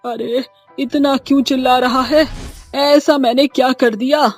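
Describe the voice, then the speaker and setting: A woman's voice: high-pitched, fairly even intonation, a little slow, soft background music. A woman playing a scheming, placating middle-aged mother-in-law with a coaxing, dramatic delivery in a cartoon story.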